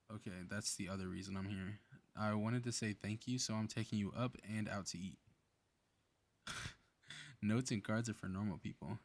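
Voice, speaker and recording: A man playing a cocky, playful superhero in a flirty ASMR roleplay audio drama, with casual teasing banter. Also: lowish voice.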